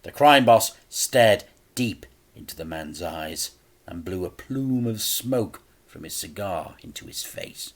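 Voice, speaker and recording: Slow, theatrical male children's storyteller. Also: sits low, lively intonation. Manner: theatrical, dramatic delivery. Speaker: storyteller reading a children's book. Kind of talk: expressive scripted narration for children.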